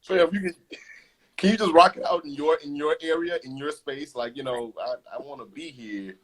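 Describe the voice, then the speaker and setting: A man's voice: on the high side, normal speed, rough recording. A young man hosting a casual interview, chatty and laid-back, full of slang. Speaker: host.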